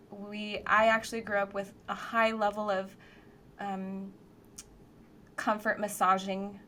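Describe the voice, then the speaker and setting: A woman's voice: mid-range voice, a bit bright, slight background noise. A woman from the United States, co-hosting a podcast and speaking in a thoughtful, reflective way with many fillers. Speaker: podcast co-host, therapist. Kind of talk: casual podcast conversation.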